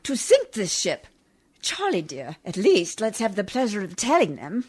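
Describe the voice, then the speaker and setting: Female actor as prim devoted lady, radio drama, polite diction, animated range, slightly breathy rasp, earnest. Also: mid-range voice, moderate pace. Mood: composed, defiant. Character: prim, plucky, devoted genteel lady adventurer. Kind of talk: radio play acting.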